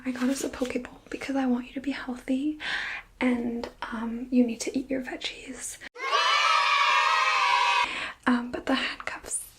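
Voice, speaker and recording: A woman's voice: high voice, little pitch movement, unhurried, pauses often, raised voice, over a noisy crowd, very noisy. A woman acting a clingy, apologetic captor girlfriend in a whispered ASMR roleplay, hesitant and coaxing.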